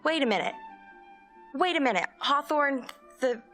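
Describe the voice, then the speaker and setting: An actress playing a sharp-tongued, skeptical young woman in an audio drama, talking in a sarcastic, direct way. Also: high voice, expressive, moderate pace, music in the background. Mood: skeptical, determined. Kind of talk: scripted audio drama acting.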